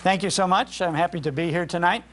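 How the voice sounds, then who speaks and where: A man's voice: sits high, quick pace, noisy background. An older man, a radio host, giving an informal live talk in a chatty, anecdotal way into an event microphone. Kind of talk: informal public talk, storytelling. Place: speaking live in a public library room.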